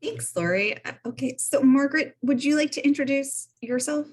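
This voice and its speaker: A woman's voice: high-pitched, normal speed. A woman instructor hosting an online information session, friendly and organized, speaking through a computer mic on a video call. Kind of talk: casual online meeting.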